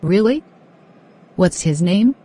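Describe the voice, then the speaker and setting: A woman playing a polite, eager young woman in a scripted cartoon dialogue for English learners, asking and answering in a deliberate way. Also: lowish voice, expressive, mellow, breathy edge, heavily acted. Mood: friendly, casual.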